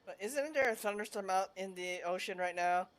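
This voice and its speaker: A woman's voice: medium pitch, normal speed. A woman game streamer talking casually and reading dialogue aloud during a playthrough.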